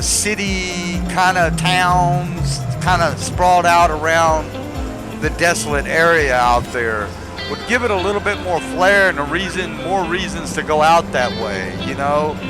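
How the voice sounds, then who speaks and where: A man giving casual, rambling gameplay commentary and chatting to his viewers in a conversational way. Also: a little high. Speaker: gaming video creator. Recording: loud music behind the voice.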